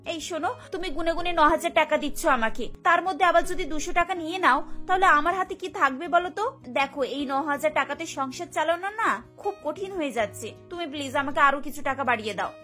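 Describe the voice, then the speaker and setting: Woman acting a high, pleading young daughter-in-law. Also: brisk, bright, forceful tone, soft background music. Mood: pleading, exasperated. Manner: complaining, pleading. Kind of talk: Bengali cartoon voice acting.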